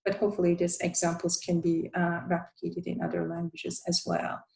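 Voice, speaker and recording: A woman presenting a design guide, a native Turkish speaker who talks in a halting way with many fillers and restarts. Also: fairly low pitch, monotone, creaky, a little mellow. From Turkey. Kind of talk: conference presentation.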